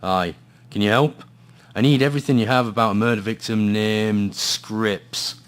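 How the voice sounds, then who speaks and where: A man's voice: fairly low pitch, steady tone, moderate pace, natural voice. A man with a Scottish accent playing a weary, dry private investigator in a noir radio drama, hesitant and understated. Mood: probing, incredulous. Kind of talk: noir radio drama, dialogue and brooding first-person narration.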